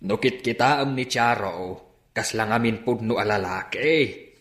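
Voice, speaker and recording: A man's voice: on the low side, unhurried, a bit bright, reverberant. A man playing an easygoing, curious father in a Filipino radio drama, conversational and questioning. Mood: curious, amused. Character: easygoing, curious father chatting about his child.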